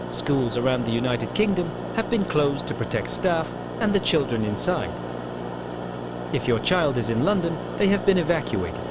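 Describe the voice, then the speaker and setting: Man acting grave emergency announcer, heavy noise. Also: a little high. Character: grave male TV continuity announcer delivering emergency bulletins. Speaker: broadcast announcer. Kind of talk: scripted emergency broadcast announcement. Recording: very noisy, loud background ambience.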